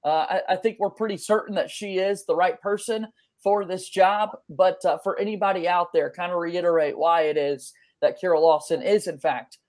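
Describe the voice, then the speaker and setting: A man's voice: high voice, steady tone, moderate pace, a little mellow. A man in his twenties presenting a sports podcast as host from a room at home over a video call. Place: home bedroom or office, indoors. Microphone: video call, webcam framing, wired earbuds.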